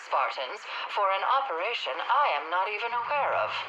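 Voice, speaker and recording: An actress playing a cold, haughty older military scientist in a sci-fi game, speaking precisely and deliberately. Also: female voice, mid-range voice, big pitch swings, natural voice. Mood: cold, possessive. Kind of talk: sci-fi game drama acting. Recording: dull, echoey comm channel with static.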